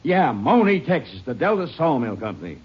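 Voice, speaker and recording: A man acting a short-tempered, heavyset rancher under suspicion in a radio western, gruff, impatient and evasive. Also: fairly high pitch, very animated, fairly quick. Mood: impatient, harried. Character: edgy, defiant fat male rancher, short-tempered suspect. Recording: muffled, hissy old-time radio recording.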